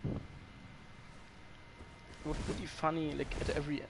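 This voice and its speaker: A man's voice: a little high, a little slow, gravelly, noisy background. A man with a German accent chatting casually to his viewers while he plays, swearing when the game frustrates him. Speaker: streamer. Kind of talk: casual gameplay commentary to chat.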